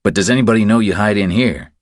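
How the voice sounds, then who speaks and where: A man playing a big, tough, gruff man who talks in firm, terse phrases in an audiobook. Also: fairly low pitch, quick pace, no room sound, clean studio sound, pitched above the performer's own voice, lightly acted. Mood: questioning.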